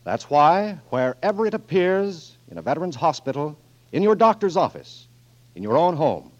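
Formal lively-voiced radio announcer. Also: male voice, fairly high pitch, moderate pace. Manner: smooth, formal, sponsor-reading delivery. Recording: dull old radio broadcast with music.

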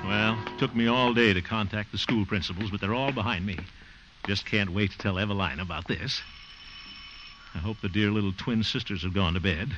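A man's voice: on the low side, fairly quick, highs cut off, over music, natural voice. An actor playing a genial, bumbling, flirtatious man with a hearty, chuckling, theatrical delivery in a 1950s radio sitcom. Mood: fond, musing. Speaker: actor in comedy lead. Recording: noisy old 1950 radio recording.

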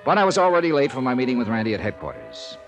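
A man's voice: medium pitch, wildly swooping pitch, rapid-fire. An American man acting as a wry insurance investigator, narrating his case conversationally in a 1950s radio drama. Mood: brisk, wry. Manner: wry, conversational first-person storytelling. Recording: 1950s radio broadcast with background music.

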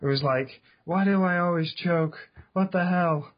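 Husky young man, playful and joking. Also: high-pitched, a little slow, highs cut off. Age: 20s. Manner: joking, laughs often, playfully boastful. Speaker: podcast co-host. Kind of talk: casual chat.

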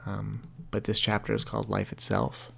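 Young male podcast host, gravelly, low-pitched, earnest and confessional, reads his own writing. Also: monotone, normal speed. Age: 20s. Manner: earnest, confessional, heavy language. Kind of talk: reflective monologue reading from his book.

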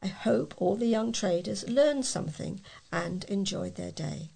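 A British woman reading local news aloud as a volunteer reader, in a measured, plain way with a gravelly, husky voice. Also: mid-range voice, lively intonation, warm tone, poor audio.

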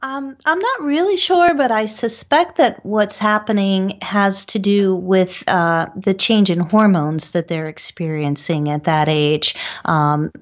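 A woman's voice: medium pitch, unhurried, dull audio. A woman being interviewed as a paranormal researcher, answering in a tentative, thoughtful, conversational way.

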